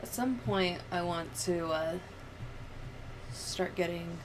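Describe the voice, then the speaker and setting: A woman with a fairly low voice talks casually on a livestream. She rambles and thinks out loud, and there is faint background noise behind her. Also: slow.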